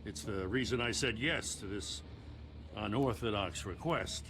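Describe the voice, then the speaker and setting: A man's voice: lowish voice, unhurried, fairly bright tone, a little hiss or hum. An actor playing a principled male police lieutenant, firm and matter-of-fact, in a dramatic game. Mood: resolute.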